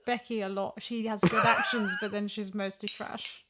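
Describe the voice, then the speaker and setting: A woman's voice: mid-range voice, level tone, moderate pace, crowd noise. A woman in a casual podcast chat, talking emphatically and repeating phrases for stress as a voice actor discussing an audio drama.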